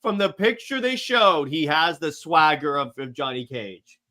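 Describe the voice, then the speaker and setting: A man's voice: on the high side, sing-song, measured pace, pushed and bright. A man co-hosting a fan podcast, casually reading out and answering viewer questions with enthusiastic opinions. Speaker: podcast co-host.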